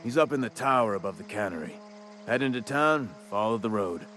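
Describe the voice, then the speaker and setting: A man's voice: lowish voice, lively intonation, a little fast, fairly bright tone, faint music behind. A man playing a chatty, shrewd cemetery worker in a video game, with a wry, folksy drawl. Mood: genial, wry. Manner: colloquial drawl, wry and talkative. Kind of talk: video game dialogue acting.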